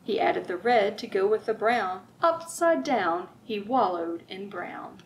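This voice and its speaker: A middle-aged woman reading aloud at a children's storytime, expressive and sing-song, drawing out rhymes and putting questions to young listeners. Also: medium pitch, measured pace, slight background noise, low-quality recording. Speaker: children's librarian, storytime host. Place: library room with bookshelves, indoors.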